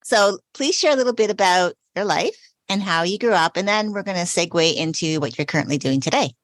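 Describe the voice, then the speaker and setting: A woman's voice: lowish voice, expressive, fairly quick, no room sound. A woman hosting a podcast interview, opening with a scripted introduction and then chatting with her guest in an enthusiastic, gushing way. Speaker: podcast host, self-care coach.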